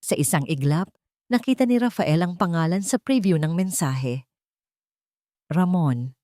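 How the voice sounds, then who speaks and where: A woman's voice: fairly low pitch, expressive, unhurried, dark tone, no room sound, studio quality. A woman reading a scripted dramatic story as its narrator.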